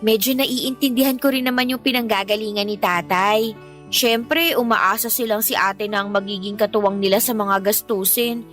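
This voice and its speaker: A woman's voice: fairly high pitch, fairly even intonation, normal speed, a bit bright, lightly acted. A woman with a Filipino accent playing a candid, hard-up eighteen-year-old girl in a radio drama, telling things in an emphatic, conversational way. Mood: aggrieved. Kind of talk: Tagalog radio drama acting. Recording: music bed under the dialogue.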